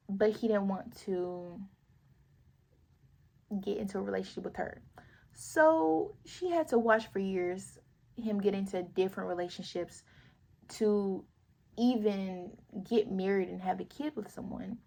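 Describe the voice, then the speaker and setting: Raspy young woman vlogging. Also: medium pitch, a little mellow. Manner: earnest, emphatic, slangy. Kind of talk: casual opinion vlog.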